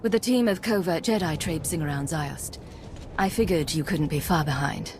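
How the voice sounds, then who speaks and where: An actress playing a stern, decisive secret agent commander in a video game, curt and commanding. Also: female voice, low-pitched, normal speed, noisy background, lightly acted. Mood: skeptical. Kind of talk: video game dialogue acting.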